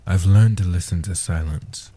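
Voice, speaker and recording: A man playing a centuries-old guardian vampire, gentle and protective, speaking softly and huskily in hushed, slow phrases for a comfort roleplay audio. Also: sits low. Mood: gentle, reassuring. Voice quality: soft-spoken, husky. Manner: slow, hushed delivery with long pauses.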